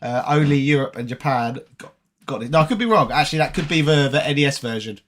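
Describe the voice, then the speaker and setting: A British man with a tired, raspy voice, chatting casually on a live stream and reading viewer comments aloud. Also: medium pitch, fast talker, slight background noise. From Britain. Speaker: retro gaming streamer.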